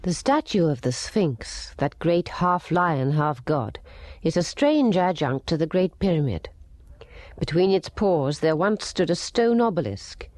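A woman narrating a scripted documentary in a measured, deliberate way. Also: lowish voice, very animated, a little mellow, no room sound.

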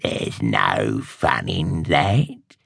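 A man reading aloud and voicing a sneering, croaking old witch woman. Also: medium pitch, sing-song, drawn-out words, a bit bright, dry recording, clean studio sound, pitched above the performer's own voice, heavily acted. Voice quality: croaking, growly. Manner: sneering, snappish.

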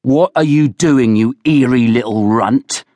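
A man's voice: medium pitch, measured pace, dark tone, dry recording, voice pushed up, close to an everyday voice. A man voicing an angry, sneering, bullying thug leader who roars with contempt in an audiobook. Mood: roaring, suspicious. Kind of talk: dramatised audiobook character voice.

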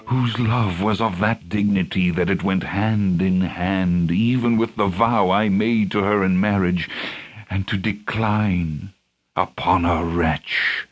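A man performs the ghost of a wronged, murdered king in a stage tragedy. The voice is very low and breathy, drawing out each phrase slowly with grave, bitter solemnity. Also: dull audio. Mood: anguished indignation. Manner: declamatory, solemn, drawn-out. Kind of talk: Shakespearean stage tragedy monologue.

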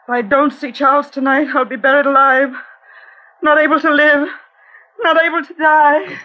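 A woman's voice: high-pitched, level tone, normal speed, lightly acted. An actress playing a dying, stubborn noblewoman with melodramatic, imploring delivery in 1940s radio style. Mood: urgent, pleading. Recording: dull, noisy old radio recording.

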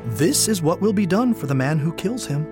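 A male actor plays an excitable soldier spreading news in a scripture drama. The voice is fairly high with big swings in pitch. Quiet music plays behind. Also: moderate pace, lightly acted. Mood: excited. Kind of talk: dramatized scripture audiobook acting.

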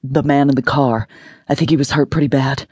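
A man's voice: mid-range voice, steady tone, a little fast, a little mellow, breathy edge, no echo, natural voice. An audiobook narrator voicing a shaken, stubborn male rescuer who speaks curtly and insistently. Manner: curt, insistent.

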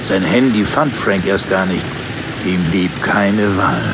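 Low-voiced man narrating over a loud storm. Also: slow, very noisy. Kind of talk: dramatic scripted horror narration. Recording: loud rain and thunder behind. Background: rainstorm with thunder.

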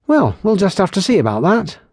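A brisk, teasing young barmaid healer, as a man puts her on in an audiobook. The voice is raised high and stays husky. She scolds quickly in a lively way. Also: no room sound, studio quality, full character voice.

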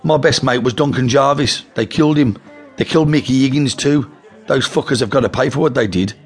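A man's voice: medium pitch, moderate pace, slightly rough, soft background music, pitched above the performer's own voice. A man voicing a nervous, lying male crook from Birmingham who talks eagerly and pushily, with a Birmingham accent. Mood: urgent. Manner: eager, pushy, blurting.

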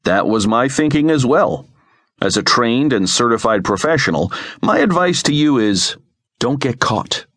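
A man's voice: mid-range voice, animated, a little slow, fairly bright tone, dry recording, clean studio sound. A man voicing a confident, wry male special-operations leader in an audiobook adventure story. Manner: assured, wry.

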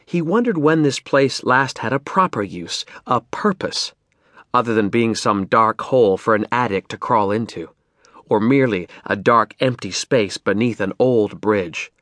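A man reading fiction as an audiobook narrator, in an expressive scripted style. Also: medium pitch, unhurried, no echo.

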